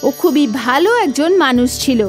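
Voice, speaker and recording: A woman's voice: high voice, moderate pace, faint music behind. A woman narrating a scripted children's story as the storyteller.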